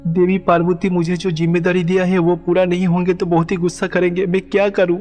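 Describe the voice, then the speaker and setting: A man's voice: high-pitched, monotone, brisk, a little mellow, voice pushed up, close to an everyday voice. A man voicing a flustered, worried bull attendant pleading in a devotional storybook tale. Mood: alarmed, fretting. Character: anxious, flustered bull attendant fretting over a ruined meal. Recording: long reverb, background music.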